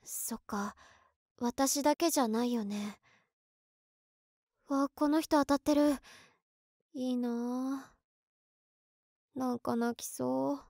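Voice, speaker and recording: A woman's voice: high-pitched, steady tone, slow, creaky, pauses often, darkish tone, no room sound, clean studio sound, exaggerated voice. A woman playing an overworked, emotional office worker in her early twenties, talking to herself in an audio drama monologue. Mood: dejected.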